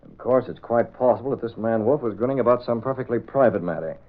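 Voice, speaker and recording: An actor playing a shrewd, thoughtful newspaper publisher and secret vigilante in old-time radio drama style. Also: male voice, medium pitch, fast, natural voice. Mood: deliberating. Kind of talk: 1930s-40s radio drama acting. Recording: muffled, narrow old radio recording.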